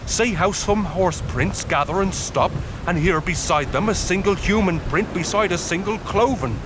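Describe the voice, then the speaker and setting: An actor playing a grim, knowing huntsman and tracker in a period audio drama, guarded, measured and ominous. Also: on the high side, noisy background.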